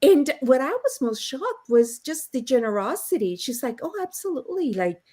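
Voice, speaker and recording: A woman's voice: high-pitched, very animated, normal speed, warm tone. Chatty woman host on a video call. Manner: chatty, laughing storyteller. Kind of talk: casual panel chat.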